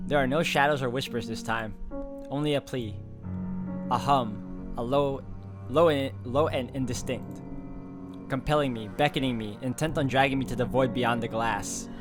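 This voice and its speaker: A male let's player with a higher-than-deep, middle-range voice reads story text aloud from a game. Game music plays clearly behind him, and he drops in personal asides. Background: background game music.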